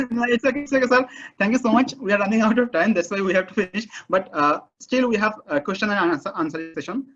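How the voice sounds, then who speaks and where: A man's voice: high-pitched, a bit bright. A man with a Bangladeshi accent moderating an online session, polite and announcing the next part. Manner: rapid, polite, switches to announcing. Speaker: webinar moderator. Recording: dull lo-fi call audio.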